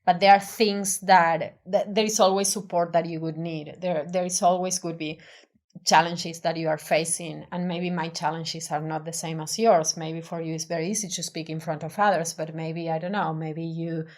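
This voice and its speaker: Woman, Spanish accent, low voice, hesitant restarts, interview guest. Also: level tone, moderate pace, a little mellow. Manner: hesitant, many restarts and fillers, thoughtful. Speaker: design professional, podcast guest. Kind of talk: casual interview conversation.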